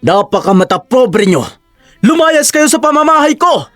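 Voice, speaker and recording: A man's voice: extremely high pitch, very animated, normal speed, a bit bright, dry recording, studio quality, soft background music, exaggerated voice. A man playing a protective, proud elderly farmer father in an audio drama. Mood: enraged.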